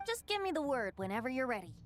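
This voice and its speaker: A woman's voice: high voice, sing-song, soft background music. A woman voicing a poised, knowing character in a video game, with articulate, genteel phrasing. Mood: polite, knowing. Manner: articulate, genteel, measured wording.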